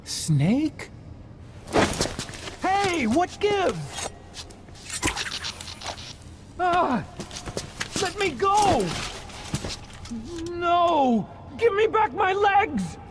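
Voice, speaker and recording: A scared, indignant male scientist in a dramatic game scene. He talks slowly in a very high, whiny voice that protests and pleads. There is clear vehicle noise behind him. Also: big pitch swings, full character voice. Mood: panicked, pleading. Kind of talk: dramatic video game voice acting.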